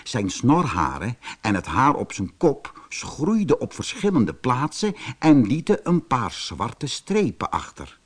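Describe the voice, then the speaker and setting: Een man die als verteller een sprookje voorleest voor kinderen. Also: medium pitch. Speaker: storyteller. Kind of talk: scripted fairy-tale narration for children.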